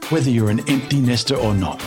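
A man's voice: mid-range voice, fast, over music. Earnest man hosting a podcast. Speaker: podcast host. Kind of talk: scripted intro, then conversational interviewing.

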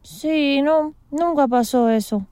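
A woman playing a young Argentine daughter in an audio drama, talking in an affectionate, brisk, reassuring way. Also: sits high, mellow, no echo, clean studio sound.

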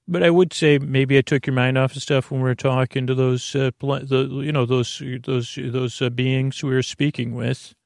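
A man hosting a sleep podcast in an improvised, meandering monologue, halting, repeating words and trailing off into goofy tangents. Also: mid-range voice, steady tone, creaky, no echo, clean studio sound. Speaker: podcast host.

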